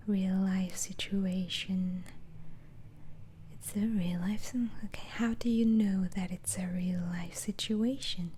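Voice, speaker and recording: A woman whispers an ASMR roleplay as a doctor, taking her time with halting, reassuring phrases. Her tone is soft and mellow. A faint hiss sits behind the close recording. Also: mid-range voice.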